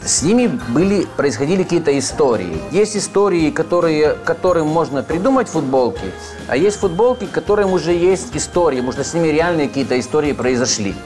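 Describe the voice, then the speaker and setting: Thoughtful man, hesitant, over background music. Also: mid-range voice. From Uzhhorod, western Ukraine. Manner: thoughtful, hesitant, with frequent filler sounds and self-corrections. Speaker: guest, author. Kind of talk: relaxed conversational interview. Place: cozy indoor room full of wall clocks, seated at a table.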